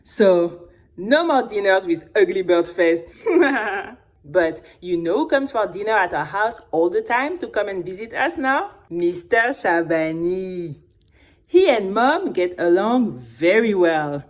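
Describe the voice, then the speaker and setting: A woman playing a chatty, excitable teenage girl who tells her own story in a confiding, playful way for an audio drama. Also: mid-range voice, slow pace. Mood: gossipy.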